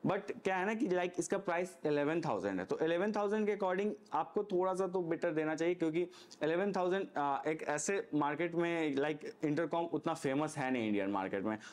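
Higher-pitched man giving a casual review. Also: darkish tone. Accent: Indian. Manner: explanatory, frequently checks in with "okay". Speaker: motorcycle gear reviewer. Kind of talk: casual unscripted product review.

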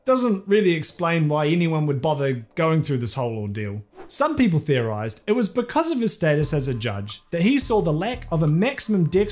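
A young man hosting a scripted comedic commentary video, sarcastic and crude in his jokes. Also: on the high side. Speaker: video essay host. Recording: faint background music.

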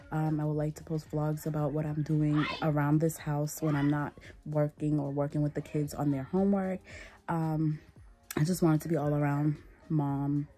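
A woman in her thirties chatting casually to her phone camera at home as a mom vlogger, relaxed and full of ums. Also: sits low. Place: small home room indoors. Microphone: phone held at arm's length.

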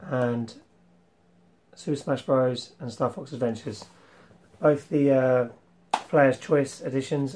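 A young man hosting a casual retro collection vlog, rambling with ums and clearing his throat, his voice slightly worn from losing it. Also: mid-range voice, darkish tone, slight background noise. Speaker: retro game collector vlogger. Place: small room full of toy shelves, indoors.

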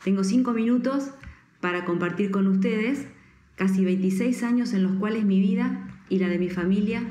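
An older woman addresses the camera in a formal, devout style. Her pitch is medium, and the small room adds a clear echo. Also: moderate pace, warm tone. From Argentina. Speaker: church member giving testimony. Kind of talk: religious testimony to camera. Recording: echoey room.

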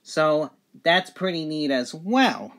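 A man's voice: on the high side, animated, takes it slowly, fairly bright tone. A man giving a casual, plain-spoken product review in short, simple statements. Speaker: gadget reviewer.

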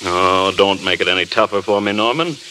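Worried studio boss, earnest, pleading, forceful bright tone, 1930s radio play, faint hiss. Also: male voice, low-pitched, brisk, poor audio. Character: earnest, worried male film producer. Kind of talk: 1930s radio drama acting. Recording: faintly hissy old radio broadcast.

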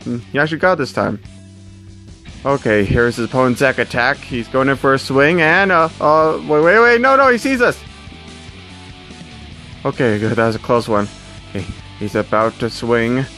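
Someone playing a hesitant, lively-pitched sports commentator. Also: moderate pace, long pauses, soft background music. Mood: excited. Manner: hesitant, casual, rambling. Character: hesitant sports commentator at a silly bowling contest.

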